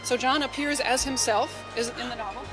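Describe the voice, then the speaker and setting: A woman's voice: on the high side, brisk, over music. A woman speaking as an interview guest, telling stories in an enthusiastic, effusive, conversational way. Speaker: novelist and radio drama writer, interview guest.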